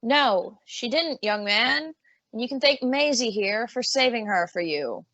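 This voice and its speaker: A woman playing a kindly, formal courtroom judge with a measured manner in an old-time radio drama. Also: on the high side, expressive, a bit bright, no echo, lo-fi sound.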